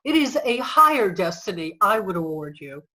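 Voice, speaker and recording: A woman's voice: mid-range voice, very animated, normal speed, sharp tone, rough recording. A woman playing a proud nineteenth-century suffragist, formal and declarative in a staged reading. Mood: proud, determined. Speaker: reader in a reader's theater.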